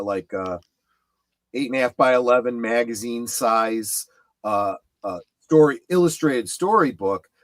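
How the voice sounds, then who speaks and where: A middle-aged man chatting casually on a fan discussion show, halting yet emphatic as he explains things in detail. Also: mid-range voice, a bit bright, dry recording, low-quality recording. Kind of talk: casual chat about films and memorabilia.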